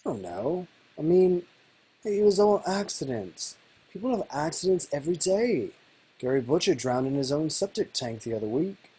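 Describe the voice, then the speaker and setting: Keep it as a man reading a laid-back young constable, soft and husky, a bit naive. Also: a little high, big pitch swings, normal speed, rough recording. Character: easygoing young male village constable. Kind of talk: table read over video call.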